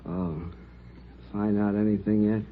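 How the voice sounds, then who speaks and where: Man acting a low-voiced, weary robbery victim. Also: steady tone, unhurried, natural voice. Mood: weary, rueful. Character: weary, rueful male robbery victim in a hospital bed. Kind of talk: 1950s radio drama dialogue. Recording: dull, noisy old radio recording.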